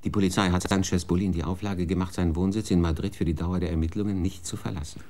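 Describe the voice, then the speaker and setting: Casting: bürokratischer Stellvertreter, tief und weich, unerschütterlich. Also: male voice, low voice, steady tone, quick pace, darkish tone. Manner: dry, bureaucratic. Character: unflappable male deputy official, matter-of-fact.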